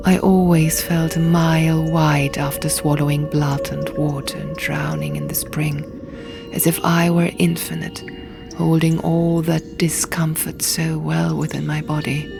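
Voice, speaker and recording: A woman reading a fantasy audiobook dramatically in the first person, in hushed, deliberate short phrases, over music and sound effects. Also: sits low, creaky. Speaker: audiobook narrator.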